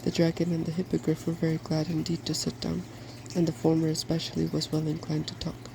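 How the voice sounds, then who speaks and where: A soft-spoken man reading a fairy tale as an ASMR storyteller. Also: a little high, monotone, a little fast, creaky, noisy background.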